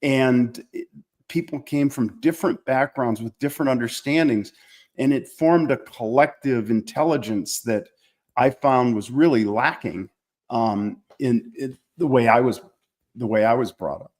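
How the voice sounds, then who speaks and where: An American man speaking as an author being interviewed, in a voice of ordinary male pitch. He recalls personal history conversationally, reflective and halting as he searches for the right phrase. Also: darkish tone. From small-town Minnesota, United States.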